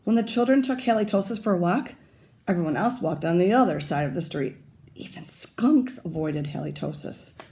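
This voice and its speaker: A woman teacher reading a picture book aloud to young children, pausing to comment and ask them questions. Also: fairly low pitch, expressive, warm tone.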